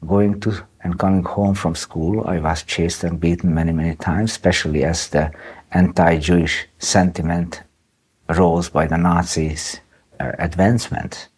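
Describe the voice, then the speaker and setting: Interviewee, man in his nineties, gravelly-low and breathy, halting recollection. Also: level tone, a little mellow. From Czechoslovakia. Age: 90s. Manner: halting, with restarts and fillers. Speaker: interviewee, Holocaust survivor. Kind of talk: recounting memories in an interview.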